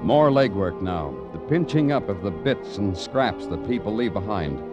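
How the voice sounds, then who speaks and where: Actor as a low-voiced, brooding radio police detective. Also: male voice, animated, normal speed, lo-fi sound, natural voice. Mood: brooding, wry. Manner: brooding, lyrical, clipped delivery. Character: weary, wry, poetic male police detective narrating his case. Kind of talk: old-time radio crime drama acting. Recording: vintage radio recording with music.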